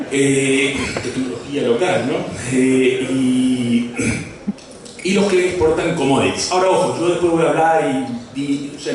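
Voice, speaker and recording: Male lecturer, halting delivery with restarts and jokes, recorded from far off in a big reverberant room. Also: medium pitch, a little mellow. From Brazil. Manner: halting, many fillers and restarts, asides and jokes. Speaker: economics lecturer. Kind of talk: academic talk. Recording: echoey hall from far off, faint noise.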